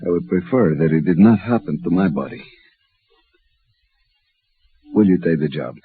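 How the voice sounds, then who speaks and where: An actor playing a dignified, dying Mexican don in a 1950s radio drama, speaking gravely and formally. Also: male voice, low voice, expressive, quick pace, full character voice. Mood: stern, weary. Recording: muffled vintage radio recording.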